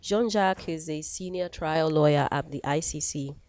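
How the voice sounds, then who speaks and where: A woman acting as master of ceremonies, introducing a speaker in a measured, formal way. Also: low-pitched, darkish tone.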